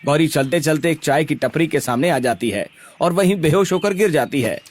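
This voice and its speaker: Lively higher-pitched male story narrator. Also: normal speed. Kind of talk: scripted cartoon story narration.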